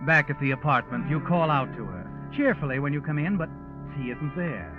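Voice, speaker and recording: An actor playing a sardonic, all-knowing storyteller, insinuating and ominous, in a 1940s radio mystery. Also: male voice, fairly high pitch, expressive, normal speed, natural voice. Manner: taunting, insinuating, second-person narration. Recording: dull vintage radio with music. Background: music.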